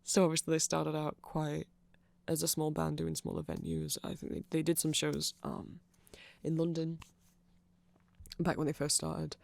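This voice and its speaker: Someone doing a nerdy vampire asmr ramble, creaky voice, hesitant and chatty, goes off on tangents, really dry recording. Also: mid-range voice, sing-song, normal speed, darkish tone, dry recording. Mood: nerdy, rambling. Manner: hesitant, digressive, self-interrupting, conversational. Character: nerdy rambling vampire, eager fan explaining band lore. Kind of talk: ASMR roleplay, casual rambling explanation.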